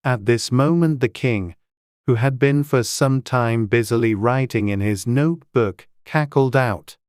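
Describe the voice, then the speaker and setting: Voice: mid-range voice, measured pace, a little mellow, dry recording, studio quality. An audiobook narrator reading a classic tale in a dramatized storybook style.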